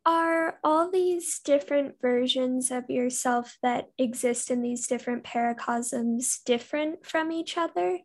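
A woman interviewer asking a question slowly and carefully. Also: high voice.

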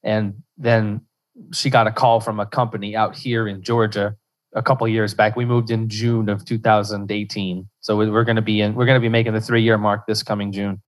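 A man's voice: fairly low pitch, little pitch movement, moderate pace. A young man from Louisiana, a podcast guest who talks in loose rambling bursts full of restarts and fillers. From South Central Louisiana. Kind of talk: casual interview chat.

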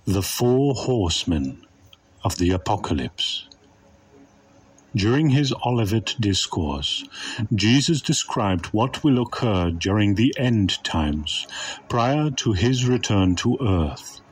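A man delivering a dramatic scripted sermon as a preacher, reading scripture in a solemn, emphatic and deliberate manner. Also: on the low side, expressive, husky, low-quality recording. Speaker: preacher narrator.

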